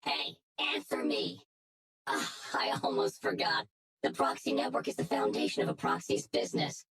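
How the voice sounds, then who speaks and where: An actress playing a menacing, smug female hacker in a video game, taunting and commanding, with a breathy, gravelly edge. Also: deep voice, very animated, normal speed, a bit bright, heavily acted. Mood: angry, demanding. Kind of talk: English video game voice acting.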